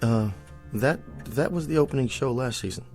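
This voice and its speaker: An actor playing a timid TV Western star in an audio drama, speaking with an American accent in a hesitant, placating way. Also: male voice, mid-range voice, moderate pace, darkish tone, close to an everyday voice. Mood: sheepish boasting. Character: timid, evasive actor who plays a TV Western marshal. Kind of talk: comic radio drama acting. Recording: music under the dialogue.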